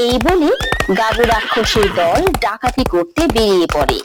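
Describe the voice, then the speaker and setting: A woman's voice: a little high, very animated, normal speed. A woman narrating a scripted children's story. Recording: music behind the voice.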